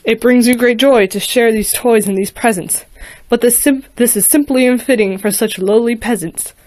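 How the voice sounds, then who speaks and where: A woman voicing a cold, cruel male dark lord who makes slow, booming threats in a storybook reading. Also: no echo, natural voice. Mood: menacing.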